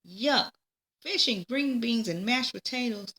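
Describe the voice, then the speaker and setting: Woman voicing a high-pitched picky little girl. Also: animated, measured pace, bright, forceful tone. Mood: disgusted. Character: picky, playful young girl who loves her pet duck. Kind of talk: children's storybook character voice.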